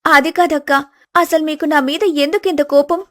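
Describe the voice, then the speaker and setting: A woman voicing a gentle, innocent younger daughter-in-law, pleading and apologetic, in a village family story. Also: high voice, steady tone, normal speed, no room sound, clean studio sound.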